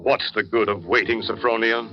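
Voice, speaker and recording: An actor playing a folksy, blunt, sinister middle-aged hotel owner in a 1940s radio drama. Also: male voice, on the low side, fairly even intonation, normal speed, natural voice. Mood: coaxing. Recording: dull 1940s radio recording, music.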